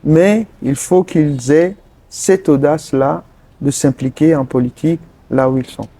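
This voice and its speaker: A man from Togo, answering interview questions earnestly and pausing often mid-sentence to find his words. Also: a little high, mellow. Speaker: interview guest, politician.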